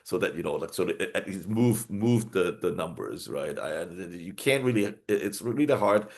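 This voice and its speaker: Man, publisher, somewhat low voice, rambling talk with many fillers, video call. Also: lively intonation, normal speed, creaky, darkish tone. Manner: rambling, many restarts and fillers, frequent laughter. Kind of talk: panel discussion on a video call.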